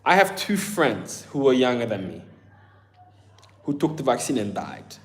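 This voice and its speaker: A man's voice: medium pitch, sing-song, a little slow. Rambling man with a mixed accent. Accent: mix of Southern English, German and Ghanaian. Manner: rambling, full of fillers, addresses chat as bro. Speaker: livestream host. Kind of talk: casual live-stream chat.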